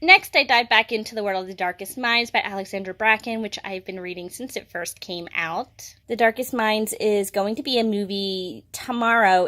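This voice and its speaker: A woman's voice: fairly high pitch, a bit bright. Enthusiastic woman book vlogger, casual delivery. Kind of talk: casual monthly reading wrap-up to camera.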